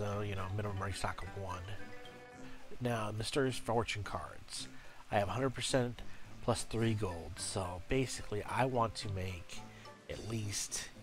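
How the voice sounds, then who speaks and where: Brief: low-voiced man, congested from a cold, hesitant tutorial delivery, music underneath. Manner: halting, many uhs and restarts. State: stuffy from a cold. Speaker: game guide creator. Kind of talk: casual screen-recorded tutorial.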